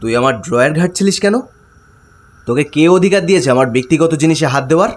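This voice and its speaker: A man's voice: expressive, fast talker, natural voice. A man acting an angry, possessive young friend with a commanding, menacing manner in a horror audio drama. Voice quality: booming voice.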